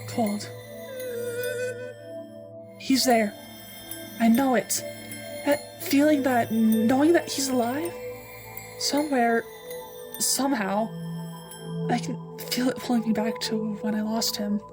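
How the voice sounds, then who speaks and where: Actress as stubborn, withdrawn young woman, fairly high voice, earnest drama, music clearly behind. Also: slow pace, pauses often. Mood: intense, haunted. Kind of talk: dramatic comic dub acting.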